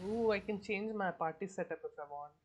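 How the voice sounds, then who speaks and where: A woman streamer giving casual, low-key gameplay commentary, thinking aloud as she plays. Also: fairly quick. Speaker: game streamer. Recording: faint game noise behind voice. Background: game sound.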